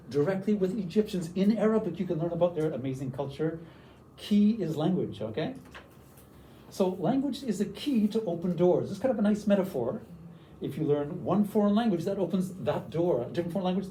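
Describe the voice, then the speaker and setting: A man's voice: high voice, animated, a little fast, warm tone, distant mic, slight background noise, low-quality recording. An older man from Canada giving a teacher workshop, enthusiastic and often checking in with "okay?". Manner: enthusiastic, tags lines with "okay?". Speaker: workshop presenter.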